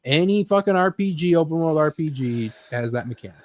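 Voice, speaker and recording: A man's voice: a little high, animated, a little fast, highs cut off. A man hosting a casual, sweary chat about geek culture, rambling and often acting out little imagined bits. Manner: rambling, acts out imagined scenes with sound effects. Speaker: host leading a group chat about games and films.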